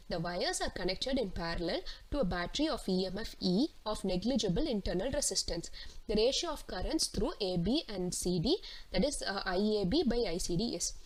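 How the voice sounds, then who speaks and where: A woman teacher explaining worked exam solutions step by step, reading out questions and then walking through them. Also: mid-range voice. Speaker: physics teacher. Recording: clearly noisy recording with clicks.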